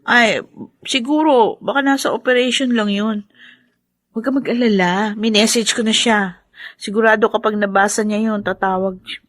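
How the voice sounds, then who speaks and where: A woman plays a Filipino younger sister in a family drama, caring and practical. Her soothing voice sits fairly high and sounds close and dry, without room echo. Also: steady tone, normal speed, fairly bright tone, close to an everyday voice. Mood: reassuring, concerned. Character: caring, reassuring younger sister of a new mother. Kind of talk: drama acting.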